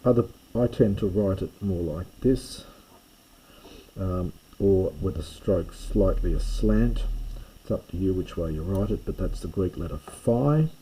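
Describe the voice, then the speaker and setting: A man's voice: sits low, expressive, measured pace, mellow, a little hiss or hum, poor audio. A man teaching a lesson, plainly explaining with fillers and stressing each new letter name. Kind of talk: classroom lesson on a whiteboard.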